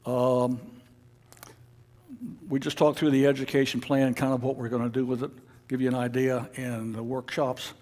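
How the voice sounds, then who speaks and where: A man presenting informally at a club meeting, halting and rambling, with frequent uhs and false starts. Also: medium pitch, flat delivery, a little mellow. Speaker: amateur radio club officer presenting at a meeting.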